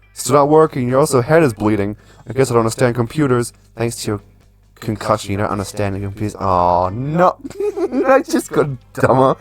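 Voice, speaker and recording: A man doing casual, chatty let's play commentary in a playful way, reading game text aloud as he goes. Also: medium pitch. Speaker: gaming channel host.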